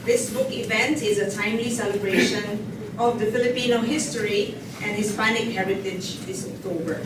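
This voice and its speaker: A woman acts as program host, delivering formal prepared introductions. Her voice comes from a distance in a very echoey indoor space, with clear noise in the recording. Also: mid-range voice, steady tone, moderate pace. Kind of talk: formal event hosting, reading prepared introductions. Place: indoor event space at a book launch. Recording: echoey hall from far off, noisy.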